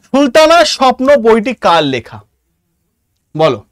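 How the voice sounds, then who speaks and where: A Bengali man lectures an online exam class in a strikingly high-pitched voice. He addresses students informally and dictates key points, repeating them for emphasis. The sound is dry, with hardly any room in it. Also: wildly swooping pitch, slow, no room sound. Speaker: exam-prep history teacher.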